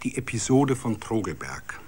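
A man reading scripted literary narration for a radio play in a measured storytelling manner. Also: lowish voice, sing-song, mellow, breathy edge. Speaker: narrator and reader of all parts.

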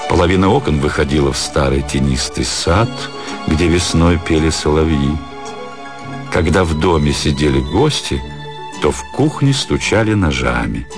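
A man narrating a literary story for a radio play, in a storyteller's expressive style. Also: extremely low pitch, normal speed, music in the background.

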